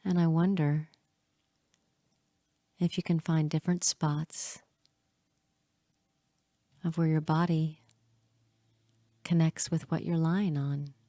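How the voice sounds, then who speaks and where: Female meditation guide: very unhurried, soft and relaxed tone, hushed, soothing, lingering pauses between phrases. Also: low voice, no room sound, studio quality. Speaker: hypnotherapist guiding a meditation.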